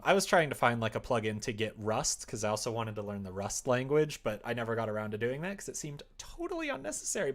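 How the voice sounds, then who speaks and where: A creaky-voiced livestreamer chatting casually and thinking aloud while coding, rambling, muttering and a little self-deprecating. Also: medium pitch. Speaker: livestreamer making a game.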